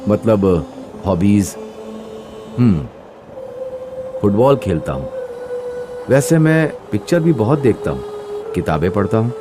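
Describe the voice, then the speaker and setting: An actor playing a young male train passenger in a Hindi animated horror story, nervous and expressive. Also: fairly low pitch, slow, long pauses, noisy background. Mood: chatty. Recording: music under the dialogue. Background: music.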